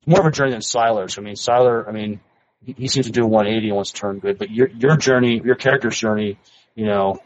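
A man with a somewhat low voice speaks as a podcast co-host. His delivery is casual and thoughtful, with halting phrasing as he works through a point. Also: muffled recording, slight background noise. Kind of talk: casual interview chat.

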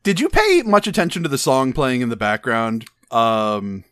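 Male podcast co-host, bright and animated, quick to joke and laugh, dry close sound. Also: medium pitch, no echo. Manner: joking, laughs often. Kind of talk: casual movie review chat.

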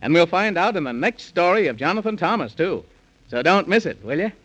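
Male storyteller, 1930s children's radio, slightly high pitch, coaxing questions to young listeners. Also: big pitch swings, brisk, a bit bright, lo-fi sound, a little hiss or hum. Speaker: radio serial narrator.

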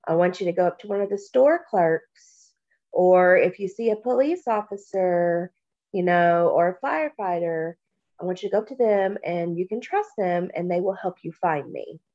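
A woman from Kentucky co-hosting a podcast, talking slowly and reflectively, with a stuffy nose from allergies. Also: on the low side, expressive, highs cut off. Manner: slow, warm, reflective, with many pauses and fillers. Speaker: podcast co-host. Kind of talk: casual podcast chat.

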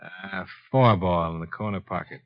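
Dry shrewd radio private eye, hard-boiled and deliberate, dull old 50s recording. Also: male voice, sits low, slight background noise. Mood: coolly accusing. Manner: dry, matter-of-fact, deliberate. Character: cool, shrewd male insurance investigator, hard-boiled and unhurried. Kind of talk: 1950s radio detective acting.

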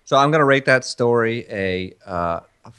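A man's voice: medium pitch, animated, moderate pace, sharp tone, clear, resonant tone. A man from Argentina hosting a podcast, easygoing and teasing, telling stories at length in casual chat. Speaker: podcast host.

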